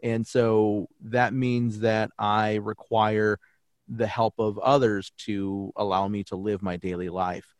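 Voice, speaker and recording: A man's voice: fairly low pitch, no room sound. A young adult man, a native English speaker who teaches German, talking earnestly and reflectively in a podcast interview, often restarting his sentences.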